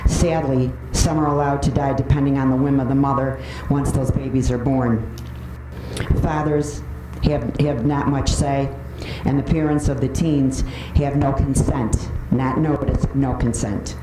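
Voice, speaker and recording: Roomy, distant recording of an opinionated woman speaking. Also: rumbling low. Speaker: public commenter at a county board meeting. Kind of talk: prepared public speech. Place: indoor public meeting room. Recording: roomy, noisy, distant microphone with clicks.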